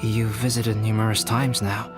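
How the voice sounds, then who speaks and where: An actor playing a calm, reserved scholarly alchemist in a video game, speaking in a measured, formal and articulate way. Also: male voice, fairly low pitch, music in the background, close to an everyday voice. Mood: calm, reflective. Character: calm, youthful-looking male scholarly alchemist, reserved and courteous. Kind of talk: video game character acting.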